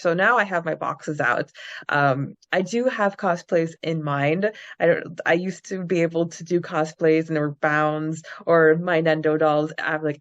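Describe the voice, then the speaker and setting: A woman's voice: low-pitched, lo-fi sound. A young adult woman co-hosting a fan podcast in a casual, chatty style. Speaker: podcast co-host.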